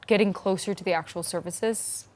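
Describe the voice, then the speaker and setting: A woman's voice: mid-range voice, moderate pace, a little mellow, a little hiss or hum. A woman answering interview questions as a company founder, in an informal, explanatory conversational manner. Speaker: startup founder being interviewed.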